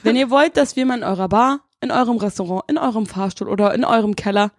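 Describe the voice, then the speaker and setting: A young Berlin woman co-hosting a podcast, chatting in lively, slangy talk. Her voice sits a little high and she laughs easily. The sound is close and dry with no room echo. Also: fast talker. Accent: Berlin German. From Berlin, Germany. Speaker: podcast co-host. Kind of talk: casual chat.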